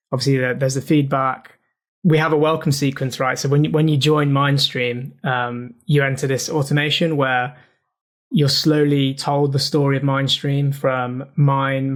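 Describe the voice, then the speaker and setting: Male newsletter editor; relaxed, filler-heavy casual talk, flat even delivery. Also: medium pitch, normal speed.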